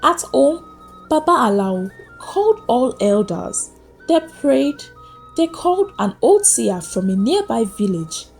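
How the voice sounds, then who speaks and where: A woman narrating a scripted folktale as a storyteller, with suspenseful, deliberate phrasing. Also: a little high, sing-song. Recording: music behind the voice. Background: background music.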